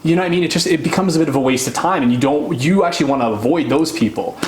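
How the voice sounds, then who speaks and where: A man's voice: a little high, rapid-fire. A man in his twenties chatting casually as an interview guest, using slangy, informal speech peppered with "like". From Canada. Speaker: interview guest, real estate investor. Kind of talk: casual, unscripted interview chat. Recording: roomy echo, clear background noise.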